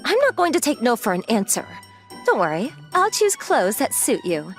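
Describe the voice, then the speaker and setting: Woman voicing a bossy young girlfriend, high and animated. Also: normal speed, faint music behind. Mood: bossy, brisk. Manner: assertive, blunt, playfully demanding. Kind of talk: manga dub voice acting.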